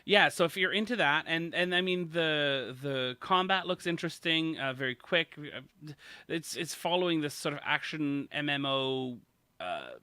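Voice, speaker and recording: An upbeat man hosts a gaming news podcast and keeps the talk moving, laughing often. His voice is very bright and somewhat high, recorded dry and close. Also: expressive, moderate pace, clean tone, no room sound. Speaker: podcast host. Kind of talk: casual gaming news chat.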